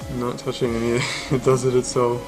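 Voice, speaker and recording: A relaxed young man streaming, walking viewers through code in a flat, easygoing way with lots of filler words. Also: mid-range voice, fairly quick, low-quality recording. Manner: monotone, relaxed, lots of "like" and "basically". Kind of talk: casual coding stream walkthrough. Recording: music playing under the voice. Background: music and keyboard typing.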